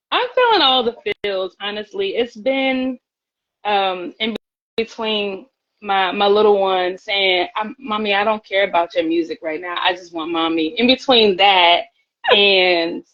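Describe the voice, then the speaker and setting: A woman's voice: mid-range voice, takes it slowly, fairly bright tone, highs cut off. A woman singer talking earnestly as a guest in a casual live-stream chat, often repeating her words. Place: at home, by her pantry. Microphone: phone on a live stream.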